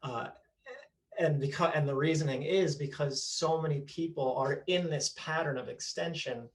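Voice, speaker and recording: A man's voice: on the high side, moderate pace, rough recording. Chatty male interviewer on a call. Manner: chatty, explaining, frequent restarts. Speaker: host, interviewer. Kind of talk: casual video call interview.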